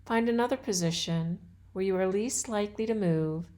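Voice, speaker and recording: A woman guiding a yoga nidra relaxation as an instructor, with soothing, measured and deliberate phrasing. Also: medium pitch, darkish tone. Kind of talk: guided meditation and relaxation instruction.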